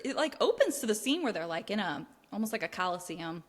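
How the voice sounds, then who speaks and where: A woman's voice: mid-range voice, very animated, fast talker, big-room sound. A woman co-hosting a podcast, chatting casually and informally about a book, peppering her sentences with "like". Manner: chatty and informal, heavy use of "like". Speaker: podcast co-host. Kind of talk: casual book discussion chat.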